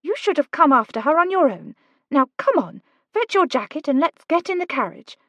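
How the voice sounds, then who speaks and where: A woman voicing Kate, a spirited young woman urging her friend to act, in a higher, coaxing voice. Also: big pitch swings, moderate pace, a bit bright, no room sound, heavily acted. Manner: urgent, coaxing.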